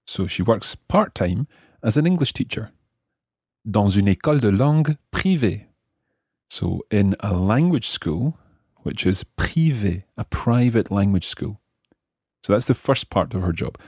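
A man presents a language lesson in a low, husky voice. He explains phrase by phrase and repeats things deliberately. The sound is dry and close. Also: big pitch swings, darkish tone, dry recording. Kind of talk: explaining French grammar phrase by phrase.

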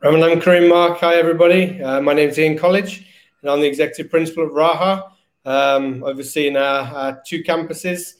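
A man's voice: on the high side, fairly even intonation, brisk. A middle-aged man speaking casually as a school principal being interviewed. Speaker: guest, school executive principal.

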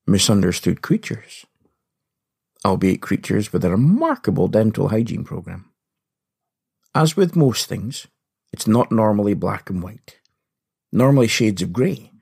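A man hosting a podcast with scripted comedic narration, husky in voice and playful, punning and theatrical in delivery. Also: fairly low pitch, a little mellow. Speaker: podcast host. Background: faint music.

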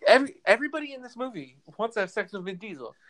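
A podcast co-host chatting casually about a movie, incredulous and animated, stammering when excited. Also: medium pitch, racing, slight background noise. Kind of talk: casual movie recap chat.

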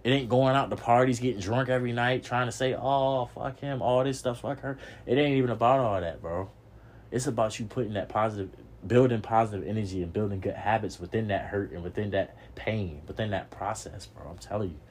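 A man's voice: on the low side, a little fast, a little mellow. A young man hosting a podcast, giving a preachy motivational monologue, repeating himself and swearing casually. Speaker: podcast host.

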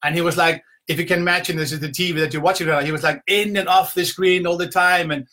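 A man's voice: a little high, fairly even intonation, quick pace, bright, forceful tone. A middle-aged man from Iceland with an Icelandic accent, giving an informal motivational talk from home in a conversational, rambling storytelling manner. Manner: conversational, rambling storytelling with repeated "you know". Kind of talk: informal motivational talk to a live online audience. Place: at home, indoors.

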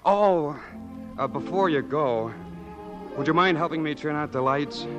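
A man's voice: fairly high pitch, a little slow, lo-fi sound, natural voice. A man playing a bashful, good-natured boy next door in 1940s radio style, hesitant and wry. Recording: old radio recording, loud music.

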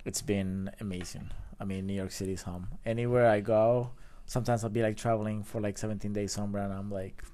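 A man in his thirties from Colombia, a podcast guest telling his story in a loose, animated way with many fillers. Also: lowish voice, fairly quick, few pauses. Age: 30s. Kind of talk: casual storytelling chat.

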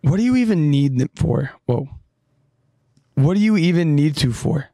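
A man's voice: a little high, expressive, moderate pace, no room sound, clean studio sound, voice pushed up. A man voicing a hot-headed young male soldier who whines in a raised, exasperated voice. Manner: whiny, exasperated and loud.

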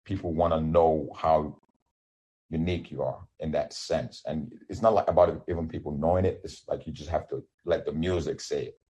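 Guy in his 30s, yoruba first language, super deep husky voice, rambles and restarts a lot. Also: fairly even intonation, normal speed, dark tone. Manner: rambling, many restarts and fillers. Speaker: independent musician guest. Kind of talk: casual interview.

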